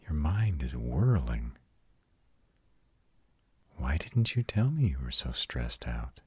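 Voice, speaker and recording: A man plays a proud vampire comforting his mate in an intimate audio roleplay. His voice is extremely low and thoroughly husky, unfolding slowly and softly with tender, protective care. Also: very animated, no echo, exaggerated voice. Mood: tender, concerned. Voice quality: very deep, husky, often breathy whispering. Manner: slow, hushed, soothing. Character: arrogant male vampire, tender and protective lover. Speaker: voice actor.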